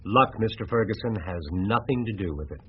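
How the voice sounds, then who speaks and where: An actor playing a cool, confident younger schemer in a radio drama, speaking in clipped, assured phrases. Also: male voice, lowish voice, animated, fairly quick, close to an everyday voice. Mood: smooth, assured. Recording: muffled radio broadcast, faint noise.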